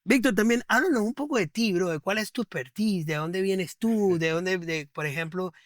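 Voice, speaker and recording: A man's voice: high voice, normal speed, no echo, studio quality. A man hosting a podcast interview, asking questions and summing up answers, often stumbling over repeated words. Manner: eager, stumbling repetitions, rephrases answers. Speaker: podcast host.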